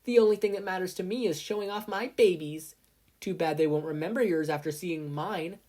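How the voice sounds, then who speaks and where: A woman's voice: lowish voice, fairly quick, dark tone, voice pushed up, natural voice. A woman voicing a brash, argumentative teenage girl inventor in a story reading. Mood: smug. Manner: smug, argumentative.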